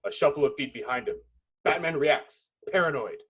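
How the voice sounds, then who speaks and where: A man with a fairly high, husky voice narrates a screenplay. He reads the stage directions dramatically, as scripted narration. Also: expressive, normal speed, rough recording.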